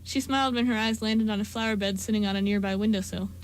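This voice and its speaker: A woman's voice: mid-range voice, fairly even intonation, quick pace, a little hiss or hum. A woman reading scripted story narration for an amateur radio drama.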